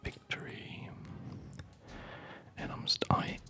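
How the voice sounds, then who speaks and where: A tired, rambling ASMR host whispering casual commentary while playing a video game, with hesitant, loosely connected phrasing. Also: slow pace.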